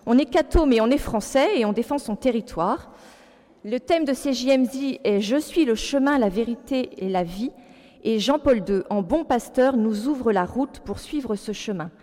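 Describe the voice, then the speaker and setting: A woman's voice: fairly high pitch, expressive, moderate pace, mellow. Earnest woman in her forties, echoing hall. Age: 40s. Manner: earnest, alternating read text with spontaneous asides. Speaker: speaker giving a testimony. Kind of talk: public personal testimony, part read aloud. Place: large hall with an audience. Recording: long hall reverb.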